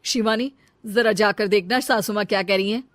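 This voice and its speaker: A woman voicing a dutiful, sensible elder daughter-in-law in a cartoon family drama, earnest, expressive and chiding. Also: mid-range voice, normal speed, dry recording, clean studio sound, close to an everyday voice. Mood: exasperated.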